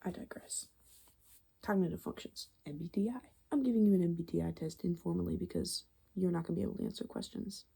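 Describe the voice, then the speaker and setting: Woman ASMR host: gravelly, dark soft-spoken voice with whispery stretches, chatty and meandering. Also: lowish voice, expressive, fast talker. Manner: rambling, conversational, confiding. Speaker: ASMR video creator and host.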